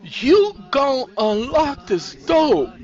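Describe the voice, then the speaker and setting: A man's voice: high-pitched, sing-song, slow, fairly bright tone, distant mic, pitched above the performer's own voice, full character voice. A man voicing a frightened, desperate woman who pleads urgently in a dramatic story. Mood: urgent, insistent. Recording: dull lo-fi recording with music.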